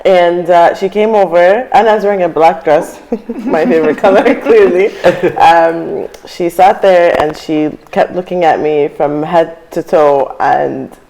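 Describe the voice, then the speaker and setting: A woman's voice: fairly low pitch, a little slow, vocal fry, warm tone, poor audio. A young adult woman from Syria, a panel guest who tells personal stories in a chatty way with many ums and frequent laughter. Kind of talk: casual panel discussion.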